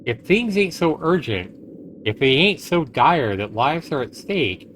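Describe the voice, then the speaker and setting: A man's voice: on the high side, sing-song, a little slow, fairly bright tone. A man streaming a visual novel, reading it aloud theatrically and emphatically, with his own commentary. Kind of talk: dramatic game read-aloud with commentary. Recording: noisy home livestream.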